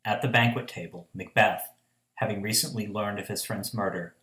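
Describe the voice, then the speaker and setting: A man's voice: on the low side, fairly even intonation, moderate pace, bright, forceful tone. A young man giving scripted narration for a radio play. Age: young adult. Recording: echoey room.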